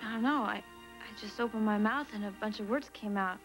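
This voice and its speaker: A woman's voice: mid-range voice, a little fast, music in the background, close to an everyday voice. A young woman acting in a daytime soap, flustered and apologetic, on a studio set. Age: young adult. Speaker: young woman after heart transplant.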